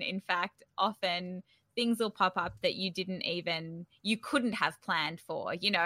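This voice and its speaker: Interview guest, woman. Forward, bright tone, very dry close sound, informal and encouraging. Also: on the low side, no echo. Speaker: startup founder, interview guest. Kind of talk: casual conversational interview.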